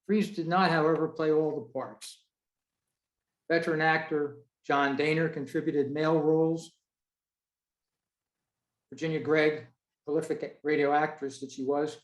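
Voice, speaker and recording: A man hosting an online meeting, giving an informal presentation in a measured, halting way over a video call. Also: fairly high pitch. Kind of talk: informal spoken presentation from notes. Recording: roomy, echoey video-call audio.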